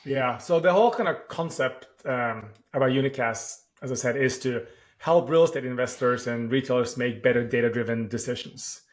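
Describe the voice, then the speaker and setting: A man from Norway with a clear Norwegian accent talks through his work as a founder. He sits at an ordinary middle pitch and thinks out loud, pausing on "uh" and circling back to rephrase. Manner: thoughtful, with frequent "uh" pauses and restarts. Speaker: startup founder. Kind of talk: podcast interview answers.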